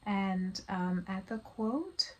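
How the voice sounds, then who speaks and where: A woman vlogger confiding her personal story to viewers in a casual, halting, rambling monologue. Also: mid-range voice, lively intonation, a little mellow. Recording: clear background noise.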